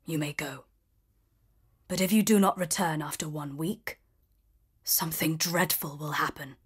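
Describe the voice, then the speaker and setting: A woman voicing a huge, gruff male beast who is sad and brooding, speaking in a low, breathy whisper. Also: steady tone, measured pace, full character voice. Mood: gloomy.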